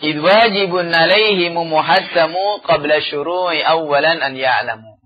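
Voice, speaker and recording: Husky-voiced male teacher, lo-fi lecture. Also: fairly high pitch. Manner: chants Arabic verses slowly, then explains with many "ya" fillers. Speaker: Islamic teacher. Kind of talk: religious study lecture.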